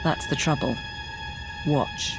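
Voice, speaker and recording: A woman playing a brisk, serious military officer in a video game, speaking in a clipped, businesslike way. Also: over music, natural voice. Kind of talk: video game cutscene acting.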